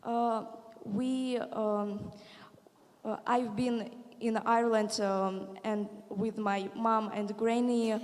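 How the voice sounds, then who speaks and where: A young woman from Ukraine with a Ukrainian accent, speaking hesitant, halting English on stage with a handheld mic. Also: fairly high pitch, little pitch movement, darkish tone. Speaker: guest singer. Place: large concert hall on stage. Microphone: handheld microphone. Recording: long hall reverb.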